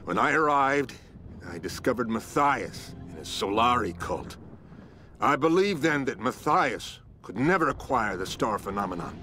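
An actor playing a grim, dying secret operative, weakened by wounds, giving a dramatic report in a game. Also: male voice, mid-range voice, big pitch swings, unhurried, sharp tone, soft background music. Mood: grim urgency.

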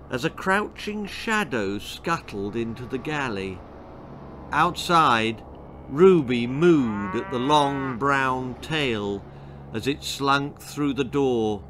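A man in his sixties reading a children's story aloud with dramatic, expressive narration, savouring the words. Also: mid-range voice, a little hiss or hum. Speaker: author reading own stories. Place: small book-lined room indoors.

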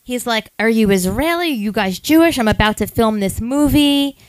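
A woman's voice: on the high side, expressive, moderate pace, dry recording. A woman in her thirties, a podcast co-host, telling a long rambling story with lots of asides at a live event.

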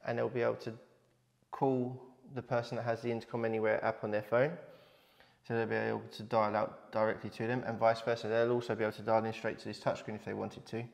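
A man in his thirties explaining an installation in a matter-of-fact, instructional way, like a tradesman presenting a walkthrough. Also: mid-range voice. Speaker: smart home installer presenting his company's work.

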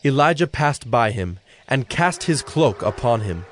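A man narrating an audio Bible as a scripted, measured storyteller. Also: mid-range voice, very animated, a bit bright, a little hiss or hum. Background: music.

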